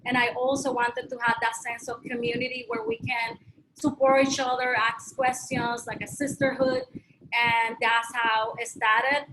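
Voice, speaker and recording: A woman's voice: a little high, fairly bright tone, poor audio. A woman from Puerto Rico introducing herself as a travel writer on a video call panel, hesitant with many fillers. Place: home in Puerto Rico, fan running. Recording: clear fan noise behind.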